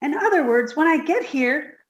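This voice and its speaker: A woman's voice: high-pitched, animated, moderate pace, roomy sound, lo-fi sound. A woman playing a sweet, naive, scatterbrained young secretary in an old-time radio comedy, earnest and easily distracted. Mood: sleepy, earnest.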